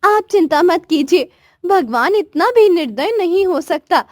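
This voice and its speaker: Very high, devoted village wife voiced by a woman. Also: expressive, measured pace, no echo, studio quality. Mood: reassuring. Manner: respectful, pleading, encouraging. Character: worried, devoted village wife of a poor farmer. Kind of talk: animated moral story dialogue.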